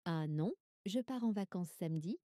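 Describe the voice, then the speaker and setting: A woman reading everyday French dialogues for learners, with clear, careful scripted delivery. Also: fairly low pitch, animated, moderate pace, mellow, no room sound, clean studio sound, close to an everyday voice.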